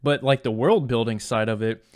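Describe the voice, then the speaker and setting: A man's voice: medium pitch, moderate pace, darkish tone. A man co-hosting a casual video game podcast, speaking in an enthusiastic, emphatic and slangy way. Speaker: podcast co-host.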